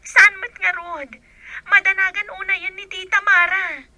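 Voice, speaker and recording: A woman's voice: very high-pitched, little pitch movement, normal speed, bright, forceful tone, no echo, exaggerated voice. An actress in an Ilocano radio drama playing a worried, urgent young woman with an Ilocano accent. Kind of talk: Filipino radio drama acting.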